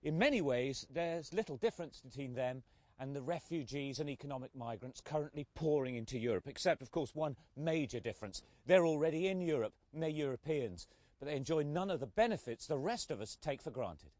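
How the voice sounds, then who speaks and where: A man delivers news commentary with firm, emphatic phrasing. His voice sits a little high. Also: expressive, fairly quick, a little mellow, no echo. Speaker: news reporter.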